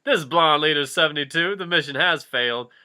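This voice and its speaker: A man's voice: on the high side, a little fast, sharp tone, smooth. A man voicing a male pilot who gives a solemn military radio report in a comedic story reading. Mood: mock solemn.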